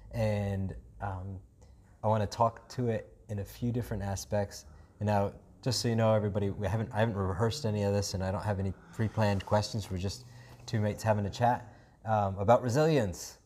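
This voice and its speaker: A man's voice: on the low side, fairly even intonation, brisk, darkish tone, a little hiss or hum. Enthusiastic New Zealand man hosting. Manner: enthusiastic, rambling with many fillers. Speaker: podcast host. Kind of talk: casual chat.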